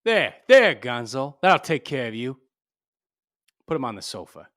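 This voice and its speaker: An actor playing a cool, shrewd private detective in a 1940s-style radio play, blunt, wisecracking and commanding. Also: male voice, medium pitch, sing-song, unhurried, fairly bright tone. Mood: tough, insistent.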